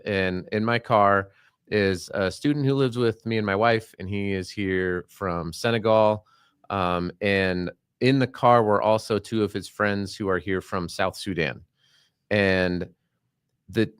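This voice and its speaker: A man's voice: on the low side, smooth, no room sound, studio quality. A man co-hosting a podcast, talking in a loose, rambling way with frequent restarts. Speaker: podcast co-host. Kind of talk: casual podcast chat.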